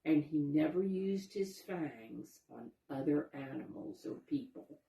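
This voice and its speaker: A woman reading a children's picture book aloud, pausing to explain things and ask questions for young listeners. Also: deep voice, animated, vocal fry, dark tone, dull audio. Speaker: storybook reader, teacher-like narrator. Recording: roomy, echoey sound.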